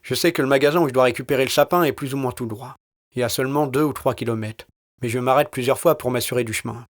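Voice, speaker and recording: A man's voice: mid-range voice, fast talker. A man narrating a first-person audio short story in terse, weary, wry and colloquial style.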